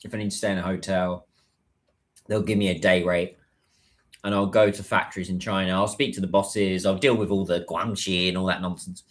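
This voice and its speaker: A man's voice: low voice, flat delivery, a little fast. A British man chatting casually on a livestream, rambling a little with plenty of fillers and restarts. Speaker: livestreamer and business content creator.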